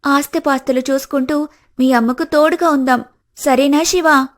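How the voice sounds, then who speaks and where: A woman playing a coy, sharp-tongued, money-minded young woman in scripted cartoon story dialogue. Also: sits high, fairly even intonation, moderate pace, lightly acted. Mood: scornful.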